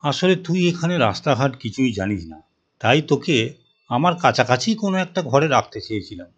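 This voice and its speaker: A man's voice: medium pitch, sing-song, fairly quick, slightly rough. A man voicing an earnest, caring young working man from a village in cartoon dialogue. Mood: apologetic.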